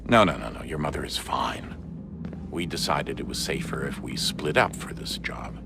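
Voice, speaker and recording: An actor playing a middle-aged assassin father speaking haltingly and apologetically to his grown son in a game cutscene. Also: rumbling low, animated, vocal fry, very noisy, full character voice. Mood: firm. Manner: halting, apologetic, sometimes whispered. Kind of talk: video game cutscene acting. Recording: game score behind voice.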